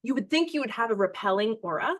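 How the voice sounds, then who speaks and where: A woman's voice: on the high side, animated, quick pace. A woman hosting a podcast in casual conversation, reflective and thinking aloud as she talks. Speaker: podcast host.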